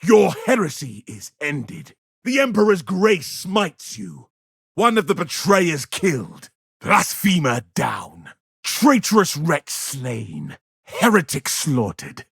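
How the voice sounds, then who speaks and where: Video game space marine: righteous zealot, stern and pompous, husky voice with big swings in pitch. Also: male voice, sing-song, slow, a bit bright, no room sound, clean studio sound, full character voice. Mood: righteous contempt. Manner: pompous, grandiose declarations. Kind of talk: dramatic video game battle barks.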